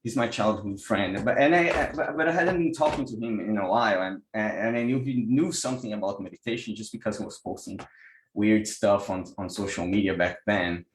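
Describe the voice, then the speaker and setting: Brazilian guy, really low voice, stumbles and restarts a lot, video call in an echoey room. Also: brisk, slight background noise. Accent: Brazilian Portuguese. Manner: hesitant, many restarts and repeated words. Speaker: workshop presenter, pranic healer. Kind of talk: online workshop talk.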